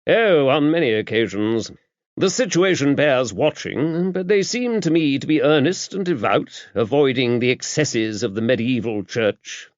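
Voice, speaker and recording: A man's voice: mid-range voice, lively intonation, a little slow, dry recording, voice pushed up, lightly acted. A man voicing an earnest, talkative English bishop in an audiobook, formal and emphatic. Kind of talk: audiobook character dialogue. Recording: dull, muffled lo-fi audio.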